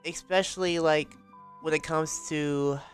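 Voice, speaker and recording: A man's voice: on the high side, steady tone, unhurried, clean tone, faint music behind, lightly acted. A man playing a troubled investigator in an improvised anime fantasy roleplay, casual, hesitant and rambling.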